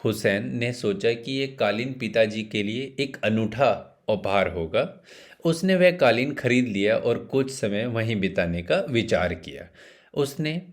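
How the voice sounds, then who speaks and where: A man narrating a scripted bedtime story in a measured way, pausing between phrases. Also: on the low side.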